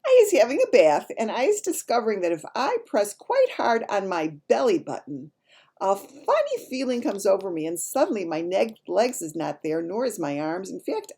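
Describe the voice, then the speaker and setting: A woman voicing a child gleefully recounting dreams in mangled grammar, in an excited, emphatic storybook reading. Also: mid-range voice, moderate pace, gravelly, slightly rough, pitched above the performer's own voice, full character voice. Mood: wonder.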